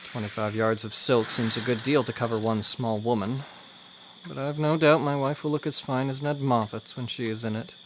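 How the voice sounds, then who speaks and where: A man playing a patient, dutiful husband in a period audio drama, restrained and gently reproachful. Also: medium pitch, steady tone, moderate pace, a little hiss or hum, natural voice, poor audio.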